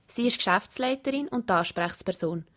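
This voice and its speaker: A woman's voice: mid-range voice, lively intonation, fairly quick, a bit bright. A young woman with a Swiss German accent reading a scripted report as a student reporter. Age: young adult. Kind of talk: scripted radio report narration.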